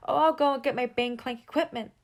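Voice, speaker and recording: A woman voicing a gentle, lonely male ghost who pleads politely. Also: high voice, fast talker, pitched above the performer's own voice. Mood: eager.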